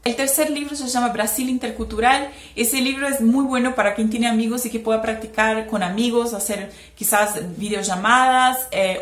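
A young woman from Brazil, speaking with a Brazilian Portuguese accent, explains language-learning tips in a chatty, casual vlog with many "eh" fillers. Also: on the high side. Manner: chatty, explains with hand gestures, frequent "eh" fillers. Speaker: language vlogger. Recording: echoey room.

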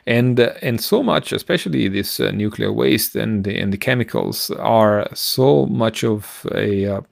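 A middle-aged man explaining science informally as a podcast co-host, halting as he searches for words. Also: fairly low pitch, vocal fry, dry recording, studio quality.

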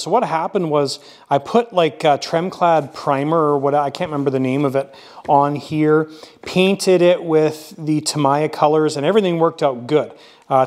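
A man casually narrating his model aircraft build in a workshop, thinking aloud with frequent fillers. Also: fairly high pitch.

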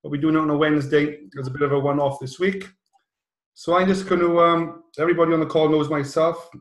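A middle-aged British man hosting an online call, introducing things informally in a rambling, halting way. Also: a little high, level tone. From South Wales, UK. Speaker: host of an online presentation call. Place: small indoor office room, on a video call. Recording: roomy, echoey video call.

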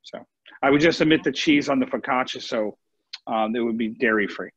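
A man giving a casual cooking demo as a chef over a video call, talking informally and haltingly as he thinks aloud. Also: medium pitch, a bit bright, husky, no room sound. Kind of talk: casual live cooking demo, question and answer. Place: home kitchen on a live video call. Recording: muffled dry online video-call sound.

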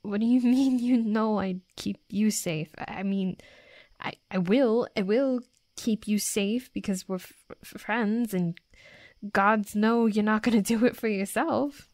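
Casting: actress as young tsundere werewolf woman, tough but flustered, stammering, slower delivery, mid pitch, close dry sound. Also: steady tone, a little mellow, dry recording, natural voice. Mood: shy, flustered. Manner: flustered, stammering, gruffly defensive. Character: tough, defensive young female werewolf, secretly smitten tsundere. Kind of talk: intimate roleplay audio drama.

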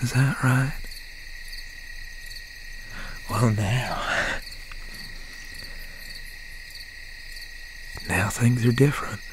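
A man playing an obsessed, menacing country slasher boyfriend in an ASMR roleplay, speaking in a breathy, halting, often whispered voice with folksy phrasing. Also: mid-range voice, lightly acted. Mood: wounded, menacing. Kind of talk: ASMR roleplay, slow and intimate. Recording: noisy night ambience with crickets, owl. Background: crickets, insects and an owl.

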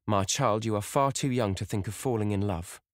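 A man's voice: on the low side, normal speed, no room sound, studio quality, voice pushed up, lightly acted. A man voicing a fretful, worldly older actress mother who talks in a querulous, fussy way.

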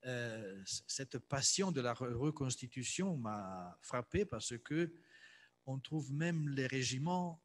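A man's voice: medium pitch, expressive, slow pace. A man moderating a cultural talk off the cuff, often searching for his words. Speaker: event host, moderator. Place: salon with piano, indoors.

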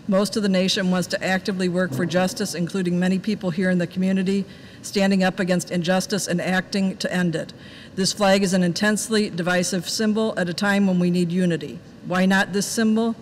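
A woman in her fifties, a village trustee, reading public comments aloud in a steady, plain voice at a desk microphone in a large meeting hall. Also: lowish voice. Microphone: desk microphone at some distance. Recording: reverberant hall, faint background noise.

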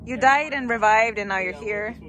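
A woman's voice: on the high side, moderate pace, bright, forceful tone, slight background noise. A young adult woman chatting casually and exuberantly to a handheld camera outdoors as she hosts a vlog. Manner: exuberant, whooping, playful. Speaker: vlog host. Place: outdoors on roadsides and in car parks. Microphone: handheld camera at arm's length. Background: music.

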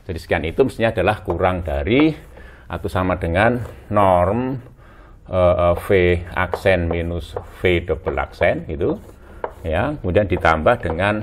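A man in his sixties with an Indonesian accent, lecturing at a chalkboard in a halting way, with many hesitations and check words. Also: low-pitched, background noise. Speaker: university physics lecturer. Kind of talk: chalkboard lecture, explaining as he writes. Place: indoor room in front of chalkboards.

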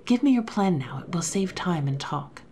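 A woman's voice: lowish voice, moderate pace, a little hiss or hum, lightly acted. A woman reading the part of a nervous male accomplice who whispers eager, worried questions. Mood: anxious. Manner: hushed, excited, questioning.

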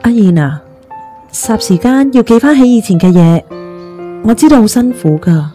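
Casting: loyal, consoling young woman played by a woman, warm mellow tone, radio drama, soft music underneath. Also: fairly high pitch, expressive, moderate pace, natural voice. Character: caring young woman, soothing loyal best friend. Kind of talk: audio drama acting.

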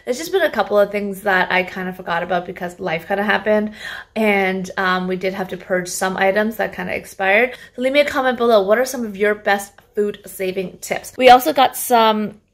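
Chatty woman with a gravelly edge. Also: mid-range voice, brisk. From Canada. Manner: chatty, rambling, laughs often. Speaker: vlogger showing a grocery haul. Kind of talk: casual chat to camera. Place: home kitchen, indoors. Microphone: phone camera at arm's length.

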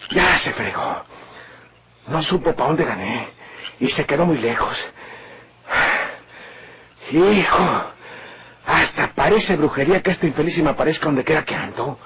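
An actor playing a nervous, scheming northern Mexican outlaw who grumbles to himself in colloquial exclamations in an old radio drama. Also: male voice, on the high side, lively intonation, unhurried, pauses often. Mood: indignant, rattled. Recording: muffled, very noisy old radio recording.

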